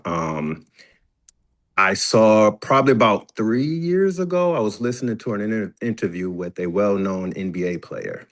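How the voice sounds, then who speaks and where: A middle-aged man speaks with a deep voice as a producer taking questions. His delivery is conversational and somewhat halting, as if working out each thought aloud. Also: fairly bright tone. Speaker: film producer, panel guest. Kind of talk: conversational Q&A answer.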